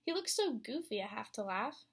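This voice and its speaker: A young woman, a student teacher, reading a picture book aloud to a class with expressive storytelling and questions for the children. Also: fairly high pitch, moderate pace, husky. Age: young adult.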